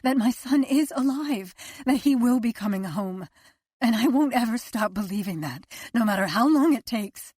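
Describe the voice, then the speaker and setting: A woman's voice: a little high, animated, moderate pace, darkish tone, slightly rough, no echo, studio quality, pitched above the performer's own voice. A woman narrator voicing a grieving mother who clings to the hope that her missing son is alive, earnest and heartfelt. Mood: hopeful. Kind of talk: audiobook character voice.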